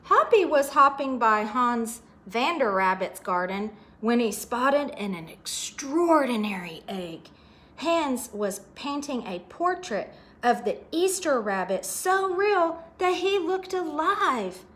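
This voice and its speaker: Animated, slow-paced woman reading a storybook. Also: sits high. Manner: child-directed, with asides about the pictures. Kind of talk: expressive picture book read-aloud.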